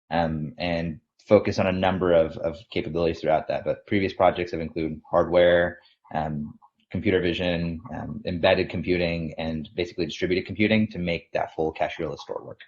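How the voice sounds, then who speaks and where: Male engineering lead, low-pitched, explaining his department on a panel, with slightly dull sound. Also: steady tone, a little fast, darkish tone. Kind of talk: professional self-introduction on a panel.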